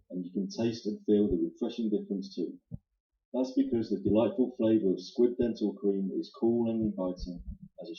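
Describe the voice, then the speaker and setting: A man's voice: lowish voice, warm tone. A man announcing in 1940s radio style, with polished, salesmanlike delivery. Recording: muffled sound in echoey room.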